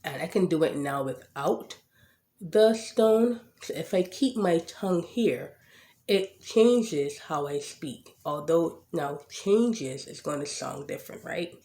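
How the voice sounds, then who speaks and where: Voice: medium pitch, animated, takes it slowly, mellow. A podcast host who stutters and has a slight lisp, talking casually about personal experience with frequent fillers and repeated words. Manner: speaks with a stutter, occasional word repetitions; frequent "um" and "right?". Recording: echoey room.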